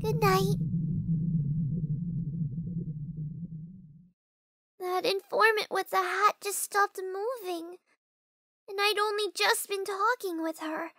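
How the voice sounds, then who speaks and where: A woman's voice: very high voice, bright, forceful tone, dry recording, studio quality, full character voice. A woman voicing an earnest, sleepy little girl in a game fan dub, with a hesitant, childlike manner. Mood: subdued, uncertain.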